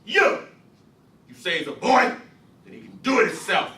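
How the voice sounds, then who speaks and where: A man voicing a rough, furious father who shouts in harsh rural dialect and breaks down. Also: high voice, big pitch swings, moderate pace, voice pushed up, heavily acted. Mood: enraged. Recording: roomy, distant mic, faint hiss.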